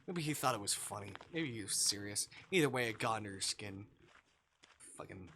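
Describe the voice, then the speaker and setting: An expressive male streamer playing through a visual novel, with very lively pitch and a sharp, bright voice. He reads the text aloud and reacts casually, with plenty of swearing and exclaiming. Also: a little fast, slight background noise. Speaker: live streamer.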